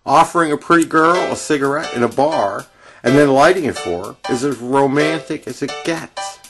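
A man's voice: medium pitch, normal speed. A man delivering an opinionated radio monologue in an emphatic, wry manner. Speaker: radio presenter. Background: acoustic guitar music.

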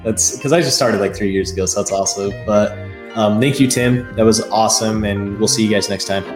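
A fairly low-pitched man hosts a relaxed podcast chat, talking in an upbeat way with lots of filler words. His voice carries a noticeable room echo. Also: a little fast, over music. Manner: upbeat, says "like" often. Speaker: podcast host. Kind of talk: casual interview chat.

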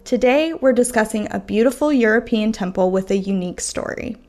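A woman's voice: on the high side, lively intonation, a little fast, a little mellow, faint music behind. A woman hosting a podcast, reading a polished script. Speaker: podcast host.

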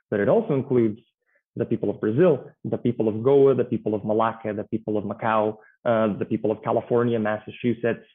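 A man speaking on a conference panel in a measured, reflective way. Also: medium pitch. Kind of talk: panel discussion. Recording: tinny phone-like line.

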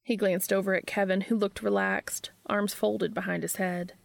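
A woman's voice: medium pitch, little pitch movement, normal speed, vocal fry, no room sound. A woman reading a scripted story aloud as an audiobook-style narrator. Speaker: fan fiction narrator.

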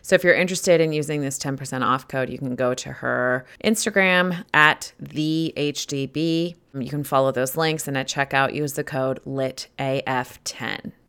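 A woman's voice: a bass voice, normal speed, hardly any pauses, fairly bright tone, smooth. A woman hosting a podcast interview, gushing and upbeat, then reading her sign-off. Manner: gushing, upbeat, says "so good" often. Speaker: podcast host.

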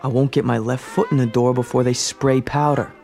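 Man playing a gravelly, sly underworld hustler. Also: medium pitch, fairly even intonation, a little fast, darkish tone, soft background music, natural voice. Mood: sly, wary. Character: wary, wheeling-dealing male underworld associate. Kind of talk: period radio drama acting.

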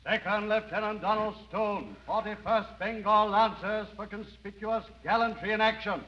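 An actor playing a stiff, formal military adjutant in a 1930s radio drama, declaiming like on a parade ground. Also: male voice, high-pitched, fairly even intonation, normal speed, close to an everyday voice. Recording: dull 1930s radio broadcast recording.

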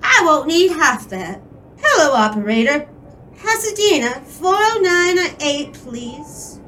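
A high, swooping female voice: a brazen 1920s flirt, played by an actress, coy, sly and wheedling. She draws out her words unhurriedly in a period audio drama, over noticeable background ambience. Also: very animated, pushed and bright, slight background noise, exaggerated voice. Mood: flirty, coaxing. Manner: coy, teasing, drawn-out phrasing.

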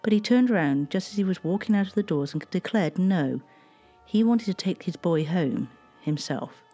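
Solemn, mellow documentary narrator. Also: mid-range voice, lively intonation, soft background music. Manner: measured, solemn reading. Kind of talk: scripted historical narration.

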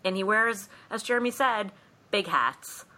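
A woman's voice: mid-range voice, expressive, measured pace, bright, forceful tone. A woman co-hosting a podcast, retelling a film plot in a casual, wry way with mimicked asides. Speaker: podcast co-host.

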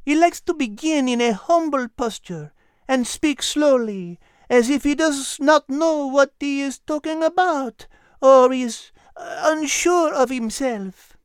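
A narrator voicing a knowing female animal, explaining in an animated way. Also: high-pitched, slow pace, no echo, voice pushed up.

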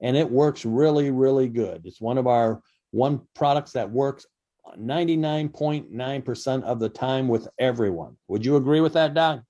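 Mellow-voiced man hosting radio. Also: medium pitch, moderate pace, dull audio. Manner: rambling, many fillers and restarts. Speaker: radio show co-host. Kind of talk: casual radio health talk. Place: radio studio.

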